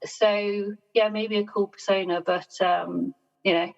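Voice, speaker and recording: A woman being interviewed on a sailing podcast, talking in a measured, self-deprecating way and laughing often. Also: medium pitch, little pitch movement, creaky. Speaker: sailing guest. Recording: dull lo-fi sound.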